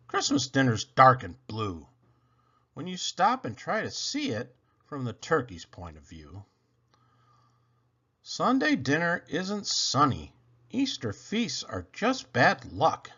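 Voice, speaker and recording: A man with a husky voice performs children's poetry at an unhurried pace. His pitch swings dramatically as he leans into words with playful emphasis and pauses. The recording is a little dull and lo-fi. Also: fairly bright tone. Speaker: reader of children's poems for a read-aloud channel. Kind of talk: theatrical children's poetry read-aloud.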